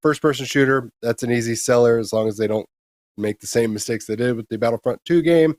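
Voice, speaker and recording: A man's voice: medium pitch, a little fast, a little mellow, no room sound, studio quality. A man co-hosting a casual news show, explaining things informally and giving his opinions. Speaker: co-host of a gaming news show.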